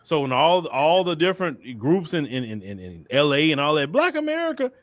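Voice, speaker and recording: A man's voice: on the high side, very animated, a little fast, no room sound. A man with a Southern Texas accent giving an emphatic, colloquial opinion monologue, often repeating phrases and acting out mock dialogue. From Port Arthur, Texas. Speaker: commentator.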